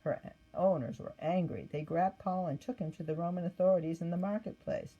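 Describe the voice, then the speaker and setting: A woman reading a scripted children's Bible story aloud in an expressive manner. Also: on the low side, fairly quick, slightly rough, muffled recording. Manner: expressive read-aloud with acted quotes. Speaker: storybook reader.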